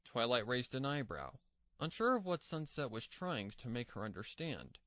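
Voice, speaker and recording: Guy narrating a story, medium pitch, really animated delivery, dry studio sound. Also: moderate pace, no room sound.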